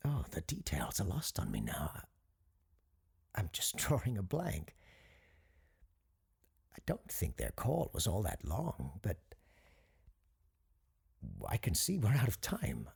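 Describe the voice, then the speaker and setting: A flustered male host of a paranormal radio drama call-in show. His voice is husky and full of creak, with pitch that moves about freely. He halts, stammers and apologises as he gropes for details. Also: wildly swooping pitch, slow, pauses often, darkish tone, full character voice. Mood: hurried sign-off. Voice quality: gravelly, husky.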